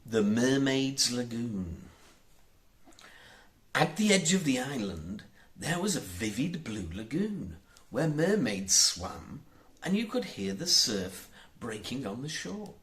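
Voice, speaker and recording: A man narrates a children's story at an unhurried pace. His medium-pitched voice rises and falls widely, and each phrase is set out deliberately and expressively for young listeners. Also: fairly bright tone. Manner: measured, deliberate storytelling delivery. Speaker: audiobook narrator.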